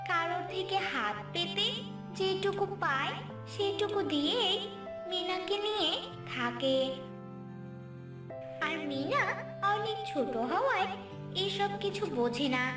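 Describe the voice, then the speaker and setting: A woman reading a scripted children's fairy tale in measured phrases. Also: extremely high pitch. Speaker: storyteller. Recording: roomy, distant, loud music bed. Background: loud music.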